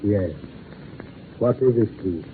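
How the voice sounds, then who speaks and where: Old, solemn mystic sorcerer. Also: male voice, lowish voice. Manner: formal, solemn, measured. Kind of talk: 1940s radio acting. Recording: noisy narrow-band 1940s radio recording.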